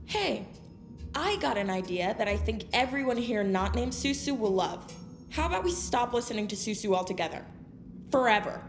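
A woman acts out a confrontational teenage girl with a fairly high voice and a biting, sarcastic delivery. It is an audio drama scene with roomy echo and music underneath. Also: sing-song. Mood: mocking sarcasm. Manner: mocking, biting, drawn-out sarcasm. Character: sarcastic, confrontational teenage girl, sharp-tongued bully among friends.

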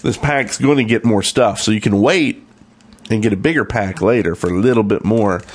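A man with a fairly low voice talks as a podcast co-host. He is conversational and opinionated, speaking off the cuff in a casual gaming discussion. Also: normal speed, breathy edge.

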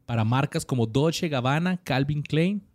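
A man's voice: fairly high pitch, animated, normal speed, darkish tone, no echo. A man co-hosting a podcast, reading out actor bios with lots of slang and jokes. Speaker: podcast co-host. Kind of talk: casual podcast chat.

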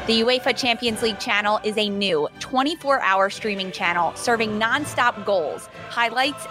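A woman reading a scripted promo in an upbeat, promotional way. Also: medium pitch. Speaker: ad announcer. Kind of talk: scripted ad read.

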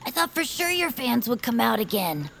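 An eager young schemer in a comic audio play, fairly high and creaky, with lively pitch. The delivery is earnest and persuasive, like a plucky little commander selling a plan. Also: female voice, normal speed, slight background noise, full character voice. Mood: eager, scheming. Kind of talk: audio fiction comedy acting.

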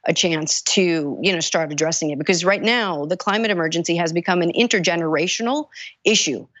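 A woman's voice: lowish voice, fairly quick, a bit bright, dry recording, muffled recording. A woman, a congressional candidate giving earnest, urgent answers with many restarts. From United States. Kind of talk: interview answers.

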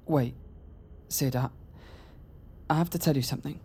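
A man's voice: mid-range voice, moderate pace, mellow, slightly rough. An actor playing an anxious, lovesick young man in an audio drama, with a jittery, earnest and hesitant delivery. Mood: earnest, pleading.

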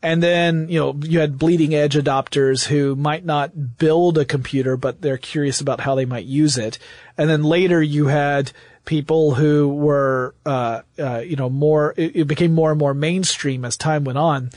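A man's voice: fairly high pitch, fairly even intonation, moderate pace, darkish tone, no room sound. A man hosting a podcast, explaining and telling stories in a conversational way. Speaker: podcast host.

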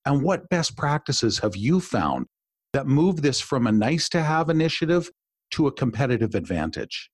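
A man's voice: mid-range voice, big pitch swings, normal speed, breathy edge, no echo. Man hosting a podcast interview, dry. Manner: enthusiastic, warm-worded, long wind-up questions. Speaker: podcast host and executive coach.

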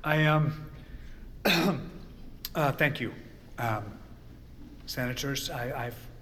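Ailing older statesman: slow, hesitant, mumbling, confused; radio drama in a reverberant hall. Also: medium pitch, pushed and bright, a little hiss or hum. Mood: confused, feeble. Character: frail, confused, ailing President, older man. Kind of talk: audio drama acting. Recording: reverberant hall, music underneath.

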